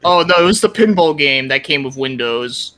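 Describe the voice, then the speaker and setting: A man's voice: on the high side, expressive, moderate pace, bright, forceful tone, no room sound. A young man chatting casually as a podcast guest about his interests, enthusiastic, slangy and rambling. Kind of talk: casual gaming podcast chat.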